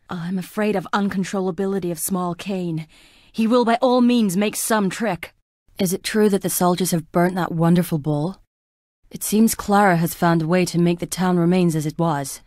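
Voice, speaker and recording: A woman's voice: fairly low pitch, darkish tone, no echo, studio quality, close to an everyday voice. An actress playing a frail, melancholy young woman in a plague-stricken town, delivering dramatic video game lines in a wistful, languid, theatrical way. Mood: fearful, gossiping.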